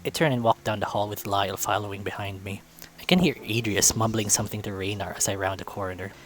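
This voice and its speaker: A man's voice: on the low side, fast, a bit bright, a little hiss or hum. A man reading visual novel narration aloud in a storytelling style. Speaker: visual novel narrator, let's-play reader.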